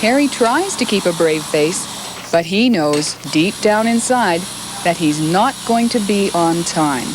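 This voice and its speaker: A woman narrating a documentary in a calm, measured, scripted way. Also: fairly low pitch, background noise. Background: music.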